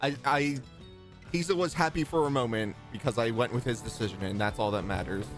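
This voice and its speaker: A man talks casually about a video game as one of a podcast's hosts. His pitch is average for a man, and there is soft music in the background. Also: brisk. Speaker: podcast co-host.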